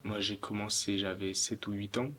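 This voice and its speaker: A young man in his late teens, a student athlete giving halting interview answers. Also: on the low side, little pitch movement, fairly bright tone, slight background noise. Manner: halting, many fillers. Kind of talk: documentary interview.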